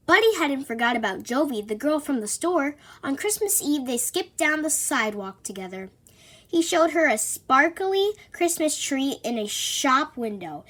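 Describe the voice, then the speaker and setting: Female storybook reader, high-pitched, very lively intonation, children's read-aloud narration.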